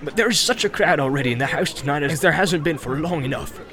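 A man's voice: fairly high pitch, animated, fast, crowd noise. A man voicing a bustling, talkative, forgetful stout male innkeeper who chatters on in a flustered rush. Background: music.

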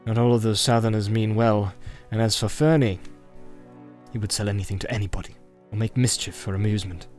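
A man voicing a grim, wary, secretive wandering ranger with a deliberate, cautioning and wry manner in an audiobook. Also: lowish voice, steady tone, a little mellow, soft background music, close to an everyday voice. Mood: warning, grave.

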